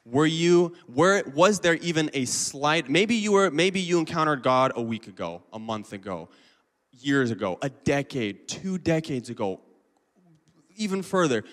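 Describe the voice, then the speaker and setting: Young male preacher in his twenties, fairly high voice, relaxed chatty delivery, long hall reverb. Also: measured pace. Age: 20s. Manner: casual, chatty preaching with many fillers. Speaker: lay preacher. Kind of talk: sermon. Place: church hall.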